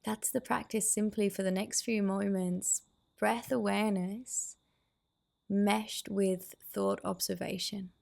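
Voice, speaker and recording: A woman guiding a meditation as a teacher, giving soothing, unhurried instructions with pauses. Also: medium pitch, flat delivery, creaky, darkish tone. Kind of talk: guided meditation.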